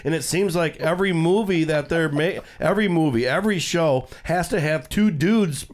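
A man hosting a casual radio talk show in a studio, talking in a rambling way full of halting restarts. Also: a little high.

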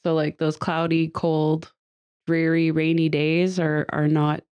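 A woman's voice: deep voice, level tone, slow, darkish tone, smooth. A woman hosting a podcast conversation, a little nasal from a cold she is getting over, talking in a chatty, upbeat way. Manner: chatty and upbeat, with frequent fillers and light laughs. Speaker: podcast host. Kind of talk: casual group podcast chat. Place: seated at a table with guests.

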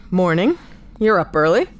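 A woman's voice: on the low side, very animated, takes it slowly. A woman playing a cynical, practical spaceship captain with a wry, no-nonsense manner in a sci-fi audio drama.

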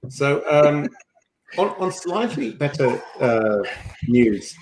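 A man's voice: medium pitch, animated, slow pace, a little mellow, a little hiss or hum. A man co-hosting a podcast, chatting in an informal, teasing way. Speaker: podcast co-host. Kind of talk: casual chat. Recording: roomy, echoing sound.

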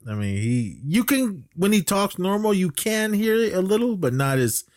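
A man's voice: sits high, big pitch swings, moderate pace, no echo, studio quality. A middle-aged man co-hosting a podcast in casual chat, rambling and good-humoured, given to chuckling. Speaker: podcast co-host. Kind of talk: casual chat reviewing wrestling.

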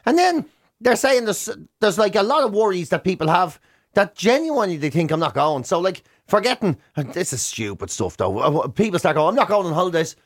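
Irish male radio co-host, middle-aged, notably high voice, self-mocking comic banter with acted-out exchanges. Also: a little fast, no echo, clean studio sound. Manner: self-deprecating, animated acting out of imagined exchanges.

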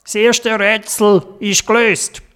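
A man's voice: sits high, little pitch movement, takes it slowly, a bit bright, clear, resonant tone, voice pushed up. A man with a Swiss German accent voicing a bossy, riddle-posing frog in a children's story, punctuating his speech with quacks. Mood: teasing, riddling.

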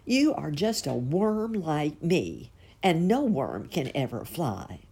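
A woman's voice: sits low, big pitch swings, vocal fry, warm tone, slightly rough, exaggerated voice. Woman doing a scornful grumpy earthworm. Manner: mocking, drawn-out scolding.

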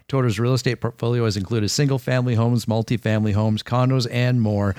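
A man's voice: mid-range voice, no room sound, studio quality. A man hosting a podcast, reading a guest's bio and asking friendly, open questions. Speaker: podcast host. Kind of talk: casual podcast interview.

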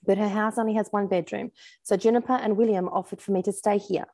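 Woman acting romance novel heroine, modest, friendly, chatty, mellow, dry sound. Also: medium pitch, steady tone, fast, no echo, lightly acted. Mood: self-deprecating.

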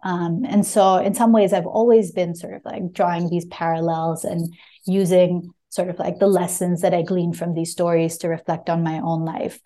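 A woman's voice: lowish voice, level tone, moderate pace, dark tone. A woman author answering interview questions in a reflective, hesitant way, searching for her words as she goes. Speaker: debut memoir author, guest. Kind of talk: reflective interview answers, with a short reading at the start.

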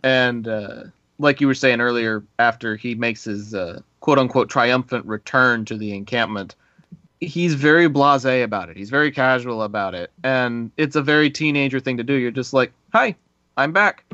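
A man hosting a film podcast, chatting casually and acting out little reactions as he talks. His voice sits at a middle pitch and sounds a little dull and muffled. The sound is dry, with no room echo. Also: normal speed. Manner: animated, mimics reactions, stammers on restarts. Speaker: podcast host.

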